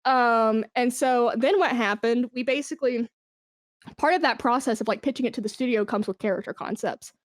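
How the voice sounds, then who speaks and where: A woman's voice: a little high, normal speed, dry recording, studio quality. A woman chatting casually as an interview guest, enthusiastic and informal in the way she talks. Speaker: interview guest, esports brand manager.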